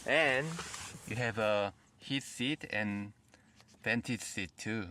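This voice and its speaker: Man, korean accent, car reviewer, very unhurried delivery, faint hum behind. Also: lowish voice, pushed and bright. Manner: plain, halting explanations in simple phrasing. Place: in and around a car.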